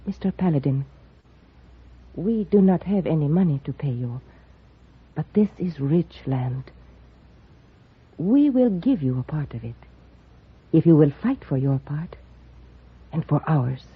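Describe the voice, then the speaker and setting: Casting: a rancher's wife, breathy and animated, earnest, vintage radio western. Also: female voice, low-pitched, a little slow, pauses often, exaggerated voice. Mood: pleading. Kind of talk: 1950s radio western acting. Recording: dull old-time radio recording.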